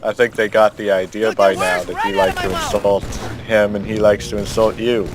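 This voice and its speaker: A man's voice: on the low side, little pitch movement, normal speed. A man casually commenting on a video game with friends, rambling and explaining at length with many fillers. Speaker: let's play commentator. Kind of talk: casual gameplay chat. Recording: noisy capture with game sound behind.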